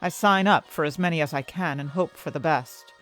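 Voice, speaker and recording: A woman's voice: low-pitched, fairly quick, fairly bright tone, soft background music. A woman acting an anxious, desperate young heroine who tells her own fantasy story in intense, dramatic first-person narration. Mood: wry. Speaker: narrator and main character of an audio fiction story.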